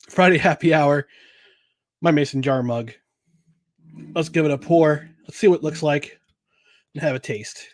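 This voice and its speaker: A man's voice: fairly high pitch, no room sound. A man hosting a casual solo podcast about sports and beer, rambling with chuckles, filler words and restarts. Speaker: podcast host.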